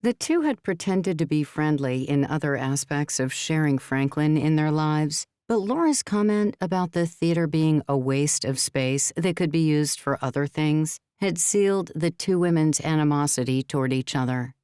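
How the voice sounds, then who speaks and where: A woman's voice: deep voice, moderate pace, few pauses, dark tone, clear, resonant tone, dry recording, clean studio sound. A woman reading scripted story narration as an audiobook narrator.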